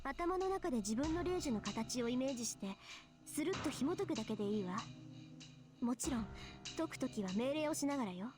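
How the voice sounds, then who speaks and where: An actress playing a sharp, proud teenage schoolgirl mage in an anime visual novel. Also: sits high, animated, racing. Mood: brisk, instructing. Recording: game dialogue over background music.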